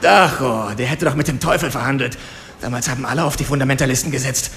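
A man's voice: medium pitch, fast talker, fairly bright tone, lightly acted. An actor playing a cynical, cowardly henchman in a radio drama, talking in a coarse, self-excusing way. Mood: defensive. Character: cynical, cowardly male henchman confessing to police. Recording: clear ambient noise behind.

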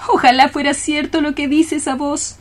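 Woman as a high-pitched lovesick young cockroach girl. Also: little pitch movement, moderate pace, close to an everyday voice. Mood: heartbroken. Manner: sighing, plaintive. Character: lovesick young female cockroach, tender, melodramatic. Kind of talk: radio theatre acting.

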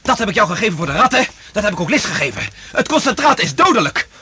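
An actor playing a conscientious, commanding lab scientist with urgent delivery in a radio drama. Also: male voice, high-pitched, big pitch swings, quick pace, pushed and bright, a little hiss or hum, full character voice. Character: urgent, conscientious male laboratory scientist taking charge.

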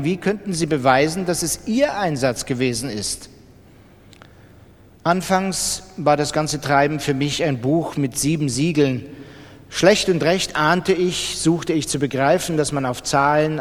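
A restless, superstitious gambler confides his scornful observations in a radio play. His voice sits fairly high and shifts emphasis with brooding intensity. Long hall reverb trails his words. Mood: disdainful. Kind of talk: literary radio drama monologue.

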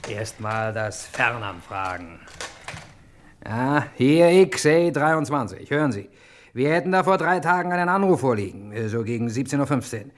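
An actor playing a gruff, clipped, grumbling detective who swears a lot in an old German radio crime drama. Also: male voice, mid-range voice, expressive, normal speed, lightly acted. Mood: brooding, determined. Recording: noisy old radio play recording.

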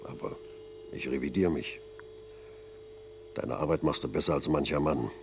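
An actor playing a blunt, patronising middle-aged factory foreman with a gravelly voice in a German radio play. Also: a bass voice, normal speed, darkish tone, slight background noise. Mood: grudging, blunt. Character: gruff paternal older factory foreman.

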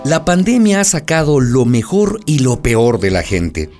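An actor playing a grave, earnest narrator of a radio drama, delivering scripted narration. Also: male voice, medium pitch, big pitch swings, unhurried, loud music behind the voice.

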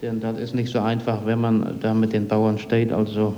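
A man from East Germany talking colloquially and haltingly in an interview, often tagging his phrases with a questioning word. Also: on the low side, completely flat delivery, warm tone. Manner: repeatedly tags phrases with "nicht?". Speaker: refugee interviewee. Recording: dull, noisy, reverberant old tape.

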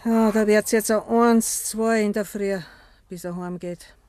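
Bavarian farmer's wife played by an actress, vintage radio drama: mid-pitched, nagging, full of disapproval. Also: female voice, fairly even intonation, measured pace, darkish tone, no echo, close to an everyday voice. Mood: grumbling. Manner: grumbling, insistent. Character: disapproving, gossiping farm wife. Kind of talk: 1950s German dialect radio drama acting.

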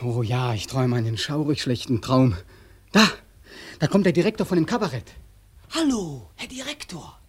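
Young war returnee, drowned and bitter, husky, wildly varied pitch, mocking, accusing, radio play. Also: male voice, animated, moderate pace. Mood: anguished, brooding. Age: young adult. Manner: swinging between biting mockery and anguished accusation. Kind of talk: German radio play acting.

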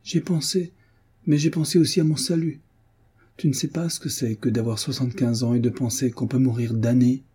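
An old peasant grandmother played by a male reader, mournful and stubborn in her piety. The voice is raised in pitch, dark and very husky, with a hesitant, plaintive delivery suited to a storybook. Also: fairly even intonation, fairly quick. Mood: pious, earnest. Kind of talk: audiobook character voice.

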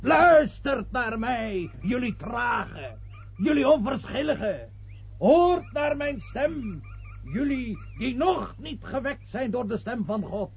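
An actor playing a fervent street preacher who declaims loudly and accusingly in a radio play. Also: male voice, very high voice, very animated, slow, gravelly, a little hiss or hum, full character voice. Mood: fervent, scolding. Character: fervent male street preacher warning sinners of God's wrath. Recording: dull old radio play recording.

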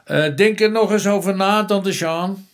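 A man's voice: sits high, measured pace, no echo, clean studio sound. An actor playing an eager, deferential junior policeman in a radio drama, polite, informal and hesitant. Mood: careful prodding.

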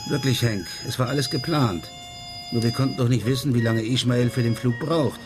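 An actor playing an unruffled, worldly middle-aged former policeman, talking calmly and wryly in a radio crime drama. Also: medium pitch, brisk, music in the background, natural voice. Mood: placating. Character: unruffled former Amsterdam policeman living abroad, worldly and wry.

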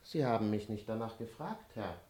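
An actor playing a timid, moralizing servant in a classical radio comedy, deferential, fussy and theatrical. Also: male voice, lowish voice, animated, normal speed, a little mellow, natural voice. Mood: meekly resigned. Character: timid, moralizing, long-suffering male servant.

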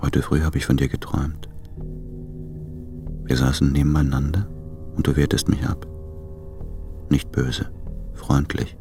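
An actor playing a brooding, self-doubting lover in a radio play, with measured, introspective delivery. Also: male voice, extremely low pitch, flat delivery, mellow, soft background music. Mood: melancholy. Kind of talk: literary German radio play monologue.

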